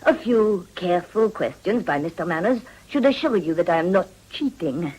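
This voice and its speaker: Give the part to a shrewd madam of a house in a period radio drama. Her voice is low and husky. She speaks deliberately, with genteel, insinuating wheedling and a hard head for business. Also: lively intonation, darkish tone, low-quality recording. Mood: silky, insinuating.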